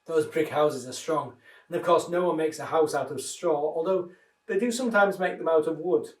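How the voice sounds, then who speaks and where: A man reading a children's story aloud in an expressive, dramatised way. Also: a little high, normal speed. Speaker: minister reading children's stories. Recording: roomy echo, distant microphone.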